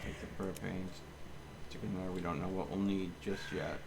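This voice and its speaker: A man's voice: low voice, steady tone, normal speed, vocal fry, background noise. A man giving casual, blunt and grumbling live commentary while streaming a game. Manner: blunt, grumbling, mildly cursing.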